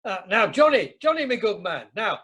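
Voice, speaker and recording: An actor playing a boastful, jovial older husband with a Yorkshire accent, hearty and repetitive, in an amateur radio comedy. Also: male voice, sits high, very animated, moderate pace, bright, forceful tone, breathy edge, heavily acted. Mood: jovial, expansive. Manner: hearty, repeats himself.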